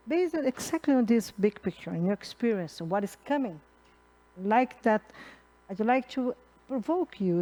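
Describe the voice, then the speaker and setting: A middle-aged woman from Brazil with a Brazilian accent and Portuguese as her first language, moderating a conference panel in halting English full of fillers and restarts. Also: mid-range voice, warm tone, poor audio. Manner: halting, many fillers and restarts, laughs at own slips. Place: conference hall.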